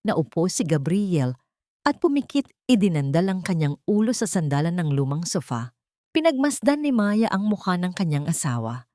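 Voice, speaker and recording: A woman tells a scripted drama as its narrator. Her voice is slightly low and recorded dry and close. The delivery is solemn and emotive, with a literary, storybook phrasing. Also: sing-song, normal speed, warm tone, no room sound.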